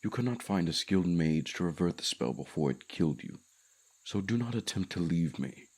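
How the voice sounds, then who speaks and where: A man's voice: low voice, expressive, normal speed, a little mellow, breathy edge. A man voicing a haughty, commanding dragon in a fantasy ASMR roleplay, speaking formally with pompous condescension. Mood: stern, commanding. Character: haughty male dragon, commanding keeper of a human pet.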